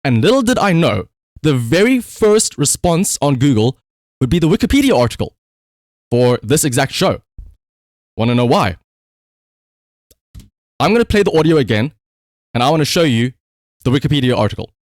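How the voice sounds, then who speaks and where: A man's voice: a little high, very animated, normal speed, long pauses, a little mellow, dry recording, studio quality. A man livestreaming casual commentary, telling a story conversationally with rhetorical asides.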